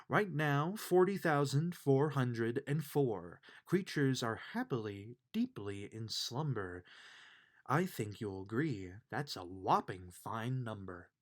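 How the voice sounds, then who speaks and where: Man reading a storybook, slow and very expressive. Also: medium pitch. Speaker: storybook reader. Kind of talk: reading a rhyming children's bedtime book aloud.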